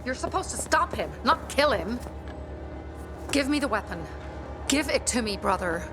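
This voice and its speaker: A woman's voice: on the high side, lively intonation, moderate pace, over music, natural voice. An actress playing a stern, embittered young female rebel leader in a video game, firm and earnest. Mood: bitter, accusing. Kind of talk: video game dialogue acting.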